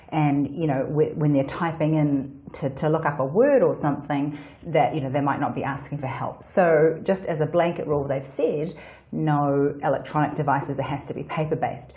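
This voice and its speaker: A woman's voice: sits low, warm tone. A woman hosting a solo educational podcast, explaining things in a chatty way with fillers and restarts. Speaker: podcast host.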